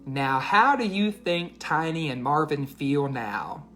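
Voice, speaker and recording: Animated, unhurried woman reading a storybook. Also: sits low, faint music behind. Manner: asks listeners questions, teacherly. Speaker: children's read-aloud host.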